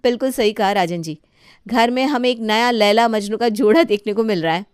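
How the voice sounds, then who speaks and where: A woman's voice: on the high side, brisk, smooth, no echo, clean studio sound, voice pushed up, natural voice. A woman voicing a gossipy, mocking young sister-in-law in a family comedy story, exclaiming and taunting.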